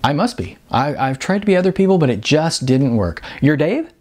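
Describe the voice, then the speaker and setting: A man's voice: fairly high pitch, sing-song, normal speed, darkish tone, voice pushed up. A man voicing a hopeful young male musician looking for work in an audiobook, earnest, hesitant and pleading. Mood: awkward.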